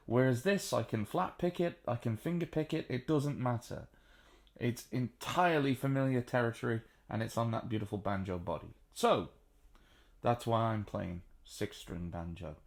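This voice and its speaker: A man with a baritone voice, sitting on a sofa at home and casually explaining how to play the banjo, with plenty of ums and uhs. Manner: chatty, unhurried, frequent ums and uhs. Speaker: banjo teacher. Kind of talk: relaxed spoken music tutorial.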